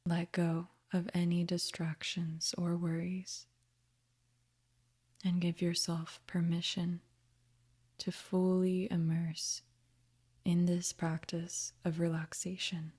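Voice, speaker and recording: A woman leads a guided meditation in a soft, half-whispered voice. Her tone is dark and mellow, and her pitch barely moves. She gives each gentle instruction very slowly. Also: completely flat delivery, husky. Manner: gentle, soothing, unhurried instruction.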